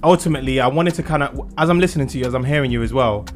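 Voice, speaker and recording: A man's voice: mid-range voice, very fast. A man hosting a casual music interview in a studio. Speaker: interviewer and host.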